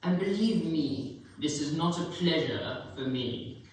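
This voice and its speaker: A scornful old miser, acted by a man, speaks from far off in a very echoey hall. His voice sits on the high side, curt and sneering, as in a staged dramatic reading. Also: animated, slow pace. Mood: curt, irritated. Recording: very echoey hall, distant mic, faint noise.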